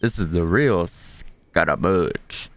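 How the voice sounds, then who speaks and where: A man's voice: sits low, slow. A man giving casual, joking, sometimes profane commentary while playing a video game. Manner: laid-back, joking, profane. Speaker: game streamer.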